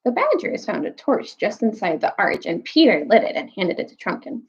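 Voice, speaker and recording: A woman reads and retells a children's story in a teacherly, expressive way. Her voice sits at a middle pitch. The recording is a little dull and lo-fi. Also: normal speed, vocal fry. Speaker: teacher reading aloud to students. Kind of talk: storytime read-aloud with recap.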